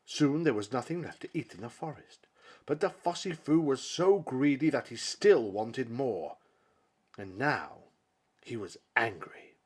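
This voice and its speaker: A man reading a children's picture book aloud as a dramatic narration, with theatrical delivery and emphatic pauses. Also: mid-range voice, animated, a little mellow, breathy edge. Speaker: storybook reader.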